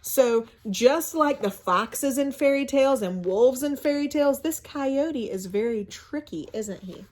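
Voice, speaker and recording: Teacher lady reading a picture book to little kids, high voice, slow, expressive, asks questions. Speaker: school media coordinator reading to children. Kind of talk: storybook read-aloud with explanatory asides to children.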